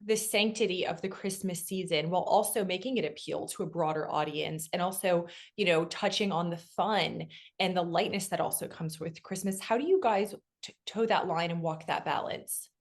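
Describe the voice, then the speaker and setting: A woman's voice: lowish voice, normal speed. A woman interviewing a guest, asking questions in an engaged, affirming way.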